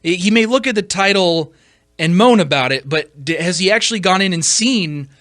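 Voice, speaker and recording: Bright, higher-voiced man arguing his point. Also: expressive, normal speed, no echo, clean studio sound. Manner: emphatic, argumentative, rhetorical questions. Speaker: podcast host. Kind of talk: opinion commentary on films.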